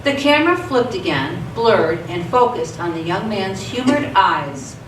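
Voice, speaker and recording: A woman narrates a radio theatre story from a script in a mid-pitched voice. She sounds set back from the mic in an echoey space, with clear background noise. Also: animated, measured pace, far from the mic.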